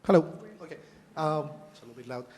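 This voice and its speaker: Man, audience question, hesitant, slightly high voice, echoey hall, faint background noise. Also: quick pace, darkish tone. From Chile. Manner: hesitant, searching for words. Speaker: audience member asking a question.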